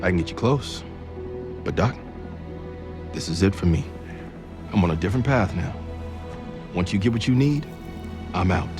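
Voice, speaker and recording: An actor playing a cynical, resolute former agent in a video game, with a terse, dry delivery. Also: male voice, low-pitched, lively intonation, moderate pace, pauses often, over music. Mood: resigned, firm. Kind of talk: cinematic game acting.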